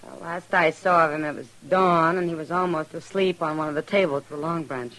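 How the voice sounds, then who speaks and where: A worldly American saloon woman, weary but loyal. She speaks in a frank, measured way. The acting is that of a 1950s radio western. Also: deep voice, fairly bright tone, poor audio. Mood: weary, concerned.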